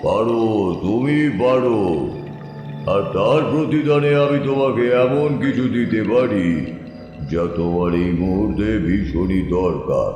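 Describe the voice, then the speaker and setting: Eerie aghori tantric spirit, played by a man: deep, drawn-out and commanding, in a long hall reverb over music. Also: lightly acted. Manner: slow, coaxing, commanding. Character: menacing aghori tantric spirit, male, cremation-ground dweller. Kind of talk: audio drama acting. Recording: heavy echo, long reverb, music under.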